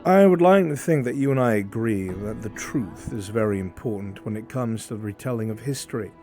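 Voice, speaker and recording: A man's voice: fairly low pitch, expressive, fairly quick, close to an everyday voice. A man playing a stern, courteous imperial military man who speaks formally and rhetorically in a live fantasy roleplay. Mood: firm, courteous. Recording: music behind the voice.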